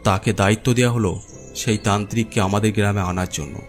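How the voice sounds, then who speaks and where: A man's voice: on the low side, fairly even intonation, quick pace. A man narrating a scripted first-person horror story as its storyteller. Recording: clear music bed under narration. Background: background music.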